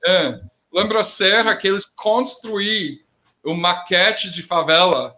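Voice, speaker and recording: A middle-aged man speaking Portuguese with a non-native accent, commenting as a guest in a political discussion, plain-spoken with halting grammar. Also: high-pitched, expressive, a crowd in the background. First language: not Portuguese.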